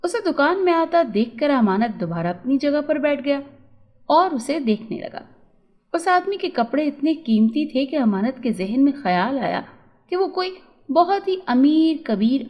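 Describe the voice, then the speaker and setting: Woman telling a scripted moral story, really high voice, storyteller narration. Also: dull audio.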